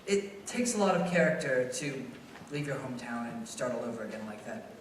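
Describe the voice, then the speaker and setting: Good-hearted folksy man, played on stage, animated pitch, radio play acting, distant echoey recording, faint noise. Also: brisk, natural voice. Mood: kindly, concerned. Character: earnest, good-hearted small-town man, excitable when troubled.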